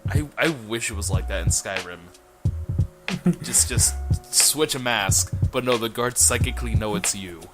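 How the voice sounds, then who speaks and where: A man with a lowish voice talks casually on a podcast panel. Music plays loudly underneath everything he says. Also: normal speed. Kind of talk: casual chat.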